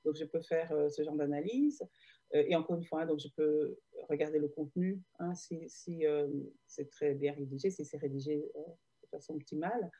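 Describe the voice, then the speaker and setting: Belgian woman, interview guest, low mellow voice, halting with fillers, video call. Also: fairly even intonation, lo-fi sound. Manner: hesitant, many fillers and restarts. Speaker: guest, digital marketer. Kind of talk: casual interview answers.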